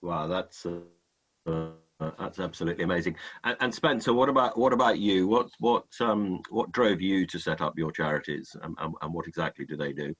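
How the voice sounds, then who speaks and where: A man chairing a webinar panel, polite and halting with many fillers. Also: low voice, fairly bright tone, slightly rough, faint music behind. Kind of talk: webinar moderation.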